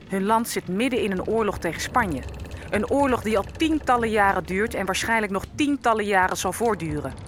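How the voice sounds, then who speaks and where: A woman's voice: medium pitch, a bit bright, slight background noise, lightly acted. An actress playing a grieving enslaved African woman held on a ship, telling her story solemnly and poetically in a radio play. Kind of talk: dramatic first-person radio play narration.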